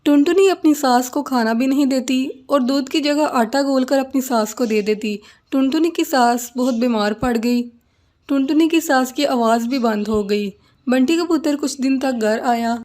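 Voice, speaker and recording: A woman storyteller with a high voice. She reads scripted narration for a children's cartoon fable, setting out the tale line by line. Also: moderate pace, darkish tone.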